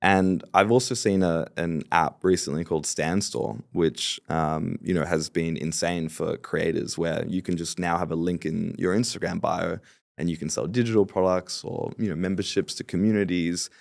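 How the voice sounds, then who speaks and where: Guy in his late 20s, podcast guest, upbeat, deep rough voice. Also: steady tone, moderate pace, dry recording, studio quality. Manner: confident, agreeable, upbeat about business. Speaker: podcast guest, fulfillment company founder. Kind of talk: casual interview talk.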